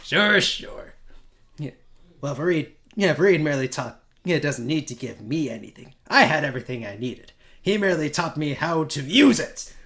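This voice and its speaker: Man acting a self-assured mage, dismissive, wry, formal phrasing, bright tone, tabletop roleplay. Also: on the high side, very animated, moderate pace.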